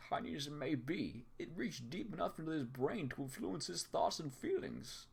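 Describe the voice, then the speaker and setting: A man's voice: fairly high pitch, expressive, normal speed, vocal fry, breathy edge. A young man reading narration for a comedic fan dub, breaking off into jokes and ad-libs between lines. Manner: jokey, self-interrupting, riffs between lines.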